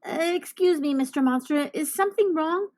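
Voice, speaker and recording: An earnest little boy from a storybook , acted by a woman, pitched high. He is bold yet polite, and speaks up in clear exclamations. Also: moderate pace, a little mellow, close to an everyday voice. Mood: politely nervous. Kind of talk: children's storybook character voice.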